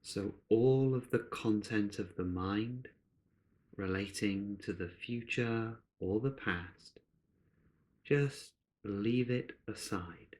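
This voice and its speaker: Slow, low-pitched man guiding meditation. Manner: gentle, unhurried, with long pauses between short phrases. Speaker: meditation guide. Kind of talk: guided meditation.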